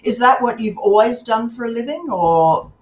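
A woman's voice: fairly high pitch, animated, moderate pace. A woman hosting a casual interview, encouraging and attentive with her guest. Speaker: interviewer, host. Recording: echoey, roomy sound.

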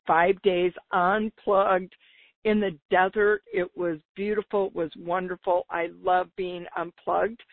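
A woman with a slightly raspy voice, talking informally and enthusiastically as the solo host of a business podcast. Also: on the low side, level tone, measured pace, no room sound. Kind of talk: upbeat solo podcast talk. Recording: dull lo-fi radio-like sound.

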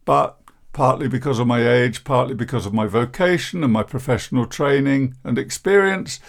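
A man in his sixties, a therapist hosting his own podcast, talking in a deep, croaky, downbeat and self-deprecating way. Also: moderate pace. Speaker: podcast host, therapist and coach. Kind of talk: reflective podcast monologue.

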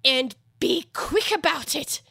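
A woman voicing a rude, overbearing male storybook hatter with a loud, taunting, drawn-out delivery. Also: high-pitched, lively intonation, gravelly, no room sound, clean studio sound, voice pushed up, heavily acted. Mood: taunting, triumphant.

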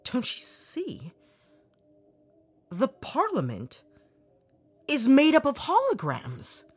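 A woman's voice: high-pitched, wildly swooping pitch, slow, soft background music, exaggerated voice. A woman voicing a determined, curious young investigator in an audio drama, earnest and questioning. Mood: suspicious.

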